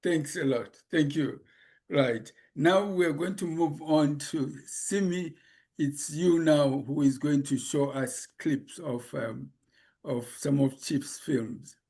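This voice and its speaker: A man from Zimbabwe moderating an online forum, polite and unhurried, speaking over a video call. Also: on the high side, warm tone, breathy edge. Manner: unhurried, polite, with thanks and fillers.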